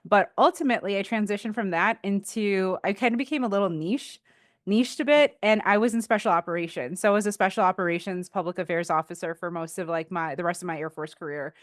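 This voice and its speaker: A woman's voice: mid-range voice, quick pace, no room sound, studio quality. A woman in her thirties, a guest on an interview podcast, talking casually about her career with many fillers and restarts. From Philippines. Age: 30s. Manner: chatty, with frequent fillers and restarts. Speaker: guest, defense contractor and Air Force veteran.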